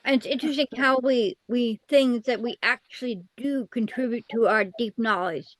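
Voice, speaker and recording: A woman leading a relaxed Bible study, her voice a touch higher than most and recorded dry and close. She talks through ideas at an easy pace and keeps the conversation going with quiet affirmations. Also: moderate pace, no room sound, rough recording. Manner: affirming, frequent "mm-hmm". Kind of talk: casual group discussion.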